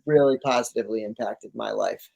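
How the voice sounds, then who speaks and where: A man's voice: fairly low pitch, a little fast, vocal fry, warm tone. A man talking casually and enthusiastically as an interview guest, in laid-back, slangy language. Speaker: interview guest, cannabis grower.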